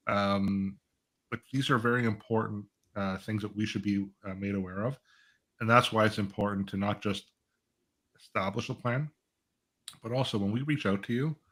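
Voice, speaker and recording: Male financial advisor, lower register, conversational and explanatory, panel discussion. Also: a bit bright. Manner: explanatory, uses acted-out client dialogue and rhetorical check-ins. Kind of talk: conversational live panel talk.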